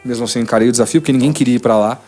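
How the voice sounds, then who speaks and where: A man's voice: mid-range voice, racing, faint music behind. A man in his forties with a São Paulo Brazilian accent, telling a personal story as a podcast guest, casually acting out remembered conversations. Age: 40s. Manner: animated retelling with quoted dialogue.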